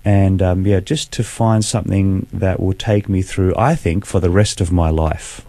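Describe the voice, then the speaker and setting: A man, a guest telling his personal faith story in an earnest, measured way. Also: low voice, fairly even intonation, dark tone, no echo. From Australia. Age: 40s. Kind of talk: personal testimony in interview.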